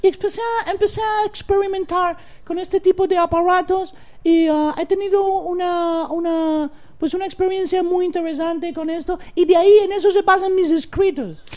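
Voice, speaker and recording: A woman's voice: extremely high pitch, fairly even intonation, moderate pace, hardly any pauses, a little mellow. A woman playing a boastful, flirty American nun who speaks Spanish with an American accent and keeps slipping into English. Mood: giddy. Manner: giggly, mixes English into Spanish, rambling. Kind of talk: improvised comic radio sketch.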